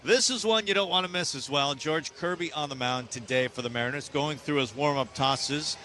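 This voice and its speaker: A man's voice: a little high, normal speed, bright, forceful tone, a little hiss or hum. An American man doing polished baseball radio commentary and announcements as a broadcaster. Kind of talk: polished sports broadcast commentary and promo reads.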